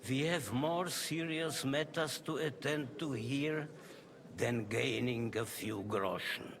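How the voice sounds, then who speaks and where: A man's voice: medium pitch, slow pace, vocal fry, fairly bright tone. An actor playing a grave, dignified medieval rabbi in video game dialogue, solemn and formal in his speech.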